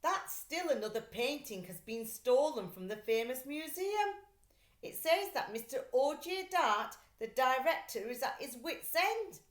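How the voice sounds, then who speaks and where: A woman voicing a storybook father who calmly reads the newspaper aloud in a declarative, newsy manner. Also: high-pitched, measured pace, voice pushed up. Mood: matter-of-fact. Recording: echoey room.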